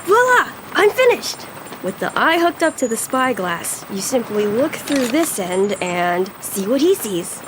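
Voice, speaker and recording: A woman voicing an eager, put-upon young boy on a pirate crew in a cartoon adventure, excitable and plaintive. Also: on the high side, a little slow, echoey room, noisy background. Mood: proud.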